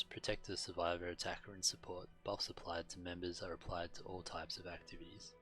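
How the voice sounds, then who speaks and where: A man giving casual, matter-of-fact and slightly halting gameplay commentary as a streamer, reading on-screen text aloud. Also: low voice, pushed and bright. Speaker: game streamer.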